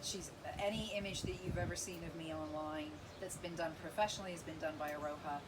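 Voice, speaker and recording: Woman host, forties, gushing and animated, lowish voice, noisy recording. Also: quick pace. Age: 40s. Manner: gushing, animated, with gasps and laughs. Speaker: podcast host. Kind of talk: casual interview. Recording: noisy background.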